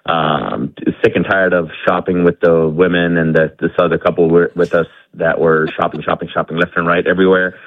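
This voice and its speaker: A man's voice: deep voice, steady tone, fairly quick, hardly any pauses, no echo. A man who grew up in India, calling in to a radio show from his garage, rambling with many fillers and restarts. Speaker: caller. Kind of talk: casual call-in chat. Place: home garage. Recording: tinny phone line.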